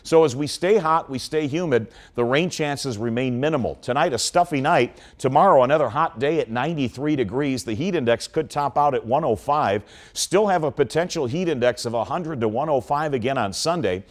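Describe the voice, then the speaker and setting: A man's voice: mid-range voice, expressive, a little fast, hardly any pauses. A middle-aged American man presenting a live weather forecast in an emphatic, explanatory manner. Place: TV studio in front of weather screen.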